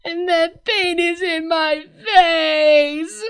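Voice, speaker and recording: A woman's voice: very high-pitched, very slow, soft background music, pitched above the performer's own voice, heavily acted. A woman doing a wailing dazed princess. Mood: moaning. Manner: moaning, wailing.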